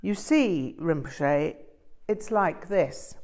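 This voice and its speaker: Wheedling monk envoy for an audiobook, played by a woman: hesitant, slow, insinuating, self-serving. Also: fairly low pitch, expressive, a little mellow. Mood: wheedling, awkward.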